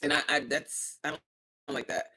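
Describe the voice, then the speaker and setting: A woman hosting a casual livestream, reading chat aloud, animated and outspoken, exclaiming often and laughing as she talks. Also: sits low, moderate pace, husky. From United States.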